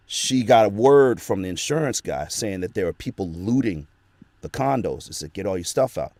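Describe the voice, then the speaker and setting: Deep-voiced man telling a rambling story. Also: warm tone, dry recording. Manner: rambling with many fillers, earnest and religious. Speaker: guest, actor and voice actor. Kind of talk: casual interview storytelling. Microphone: home studio microphone.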